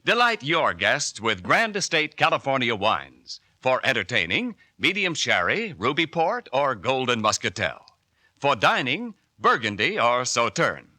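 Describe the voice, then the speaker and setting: A man reading a 1940s radio commercial as announcer, with a polished sales pitch. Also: wildly swooping pitch, unhurried, bright, forceful tone, no echo. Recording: dry old radio broadcast.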